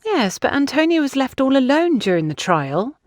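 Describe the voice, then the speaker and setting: A woman's voice: high voice, sing-song, normal speed, no room sound, voice pushed up. Audiobook role: defensive young woman, performed by a female narrator, pleading her innocence in a dry, close recording. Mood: defensive, insistent. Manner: insistent, self-justifying. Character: defensive young woman excusing herself to accusers. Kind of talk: audiobook character dialogue.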